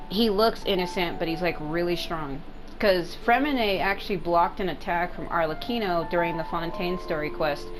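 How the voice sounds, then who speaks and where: Female let's-play gamer, slightly low register, informal and repetitive rambling commentary, prominent game music behind. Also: poor audio. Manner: repetitive, informal, swears casually. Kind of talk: casual rambling gameplay commentary.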